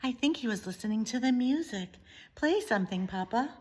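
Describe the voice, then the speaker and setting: Woman as a young storybook princess, girlish, high pitch, eager, emphatic, pleading. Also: lively intonation, brisk, lightly acted. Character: eager, insistent little princess girl. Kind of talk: children's storybook character voice.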